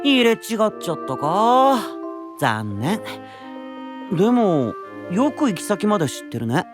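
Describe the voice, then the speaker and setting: A bright, easygoing young knight for an anime audio drama. He sounds high-pitched and very animated, chatting with open curiosity. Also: takes it slowly, music in the background, full character voice. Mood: friendly. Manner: casual, open, exclamatory.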